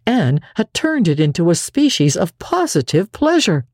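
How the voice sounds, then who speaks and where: A woman narrating a classic novel as an expressive, dramatic audiobook reader. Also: lowish voice, normal speed, no room sound, studio quality.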